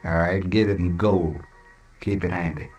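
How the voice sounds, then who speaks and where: Cold frontier hired killer, American, deep and husky, clipped and taunting, old radio Western. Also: male voice, fairly even intonation, normal speed, darkish tone, faint music behind. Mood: cold, menacing. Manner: clipped, taunting, rustic speech. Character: cold hired killer, drifting Pecos gunman, menacing. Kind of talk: 1950s Western radio drama acting.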